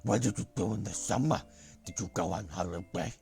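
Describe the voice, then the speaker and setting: A man doing a creaky cheeky schoolgirl. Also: fairly low pitch, a little fast, a little mellow, faint music behind. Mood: cheerful. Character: cheeky young schoolgirl, playful and sporty. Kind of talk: voicing a visual novel character on a stream.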